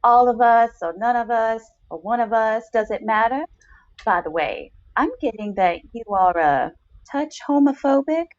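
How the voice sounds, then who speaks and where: A woman's voice: fairly high pitch, normal speed, dull audio, natural voice. A woman reading a short story in the role of a mysterious older lover with many personalities, teasing and unbothered. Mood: teasing, knowing.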